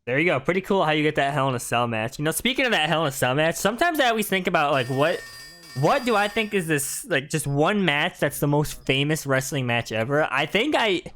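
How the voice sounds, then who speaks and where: A man's voice: mid-range voice, fairly bright tone. A man giving casual, rambling commentary as the host of a video game let's-play. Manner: rambling, conversational.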